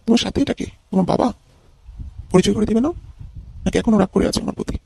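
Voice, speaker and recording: A man voicing a bitter young jilted ex-boyfriend with a high, husky voice and a needling manner in an amateur comedy cartoon. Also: steady tone, very fast, dark tone, a little hiss or hum. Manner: taunting, needling.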